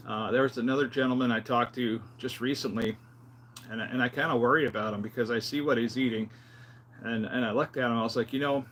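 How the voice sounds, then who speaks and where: A man's voice: medium pitch, steady tone, fast, fairly bright tone, a little hiss or hum. A man in his fifties talking casually and unscripted as a health vlogger, in a plain-spoken, rambling, conversational way. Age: 50s. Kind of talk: casual unscripted vlog talk.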